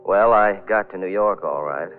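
A man's voice: sits low, moderate pace, phone-quality sound, soft background music, natural voice. Man playing a brooding young man, old radio drama. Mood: brooding, wistful. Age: young adult. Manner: brooding, dramatic, self-pitying. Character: bitter, jilted young man narrating his own downfall. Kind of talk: old-time radio thriller acting. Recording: band-limited vintage radio recording.

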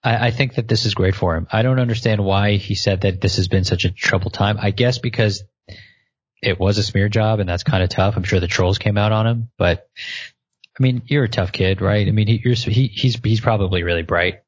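Late-twenties male co-host, lower voice, animated, stumbles into sentences, muffled dry audio. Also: brisk, slightly rough, dry recording. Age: 20s. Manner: animated, repeats words when starting. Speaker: podcast co-host. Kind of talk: casual chat.

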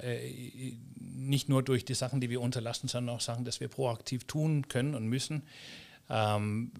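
A man hosting a podcast interview, talking casually and haltingly with many restarts and fillers. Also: mid-range voice, creaky. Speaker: podcast host.

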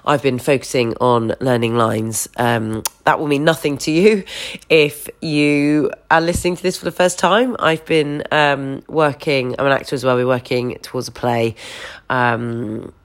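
A woman's voice: very deep voice, fairly even intonation, measured pace. A woman in her thirties with a stuffy, bunged-up voice, hosting a candid solo podcast from her sofa and recorded on her phone. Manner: candid, gently meandering with many fillers. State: congested, stuffy nose. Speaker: podcast host. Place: home sofa.

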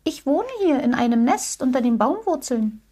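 A woman's voice: high voice, very animated, moderate pace, husky, pitched above the performer's own voice, full character voice. A woman voicing a small, friendly male rabbit in a children's story, delicate and reassuring. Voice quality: delicate, soft little voice. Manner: kind, reassuring.

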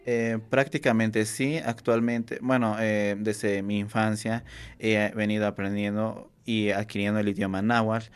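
A young man whose first language is Nahuatl, answering interview questions earnestly, with many pauses, fillers and restarts. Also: lowish voice, flat delivery, smooth. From Mexico. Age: young adult. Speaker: Nahuatl workshop teacher and university student.

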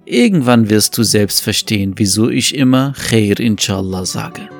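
A man voicing a patient, loyal vizier in a fable, speaking in a measured, respectful way. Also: fairly low pitch, loud music behind the voice, close to an everyday voice. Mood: calm, resigned.

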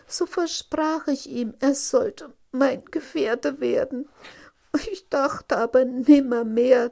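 Woman playing a high, plaintive young princess. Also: gravelly, darkish tone, heavily acted. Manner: plaintive, emphatic. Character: spoiled, tearful young princess, pleading and disdainful.

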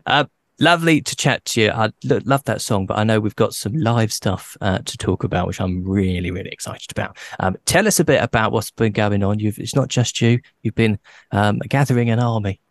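A man hosting a podcast, chatting casually with a guest, playful and quick to laugh. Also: lowish voice. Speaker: podcast host. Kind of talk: casual interview chat.

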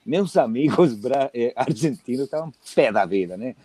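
A man's voice: medium pitch, moderate pace, breathy edge, dry recording. A man from Chile, a correspondent, telling anecdotes and acting out the lines of a joke. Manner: acts out dialogue in a joke with lively voices. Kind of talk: anecdotal storytelling.

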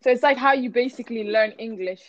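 Young woman, higher voice, dull dry sound. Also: fast. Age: young adult. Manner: frank, lots of "like" fillers. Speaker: student co-host. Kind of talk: casual chat.

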